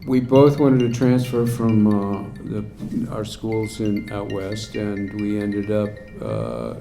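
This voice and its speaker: Low-voiced older man, echoey room. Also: expressive, slow, vocal fry, mellow, soft background music. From Wyoming, United States. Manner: hesitant, many fillers, dry humor. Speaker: interview guest. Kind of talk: casual remote interview. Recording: roomy with faint hiss.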